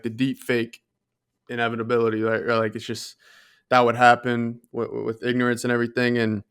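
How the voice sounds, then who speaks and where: A man co-hosting a podcast in casual discussion, thoughtful and measured as he reasons things through. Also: mid-range voice, a bit bright, clear, resonant tone. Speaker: podcast co-host.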